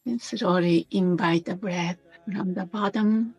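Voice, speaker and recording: A woman teaching a guided meditation in a gentle, unhurried manner, pausing between short phrases. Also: on the low side, husky.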